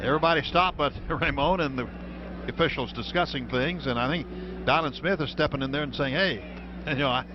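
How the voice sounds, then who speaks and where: American sportscaster on noisy, dull radio. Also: male voice, fairly high pitch, brisk. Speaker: play-by-play radio commentator. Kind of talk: live American football radio commentary. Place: stadium radio booth.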